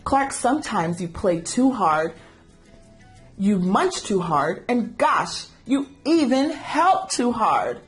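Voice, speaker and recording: A woman voicing a patient female fish schoolteacher in a storybook, instructive and calmly admonishing. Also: mid-range voice, slow, faint music behind.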